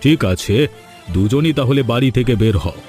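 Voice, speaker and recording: A stern old father from a fairy-tale cartoon, acted by a man, with a dark, mellow voice. He speaks in a commanding, accusatory way, as head of the household, and music plays behind him. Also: mid-range voice, expressive, normal speed, natural voice. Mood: bitter, sneering. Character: stern, quarrelsome old father, later remorseful.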